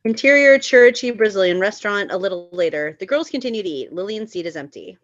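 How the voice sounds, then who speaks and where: A woman's voice: mid-range voice, animated, quick pace, no echo, highs cut off. A woman narrating a screenplay's stage directions for a table read.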